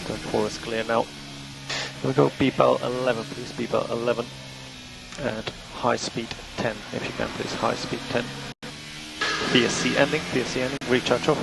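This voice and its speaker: A man working as a race engineer, giving measured, matter-of-fact instructions over team radio and repeating the key ones. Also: medium pitch, level tone, gravelly. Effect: team radio transmission. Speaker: Formula One race engineer. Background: vehicle and engine noise.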